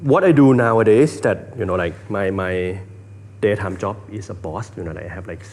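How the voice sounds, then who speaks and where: A man's voice: lowish voice, normal speed, darkish tone. A Thai man with a Thai accent, hosting a casual interview in English as a second language, hesitant with many fillers. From Thailand. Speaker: interview host.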